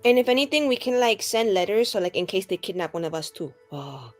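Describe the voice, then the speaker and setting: A woman's voice: medium pitch, big pitch swings, a little fast, soft background music. A woman playing a tabletop roleplaying game, talking in a casual, improvised style.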